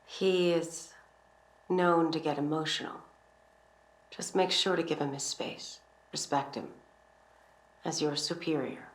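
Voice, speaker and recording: A roomy echo carries a slightly low female voice, a composed superior in a game. She sounds guarded and instructive, as if briefing someone beneath her. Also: steady tone, unhurried, long pauses. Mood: firm, composed. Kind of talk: scripted game dialogue. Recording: roomy, echoing voice.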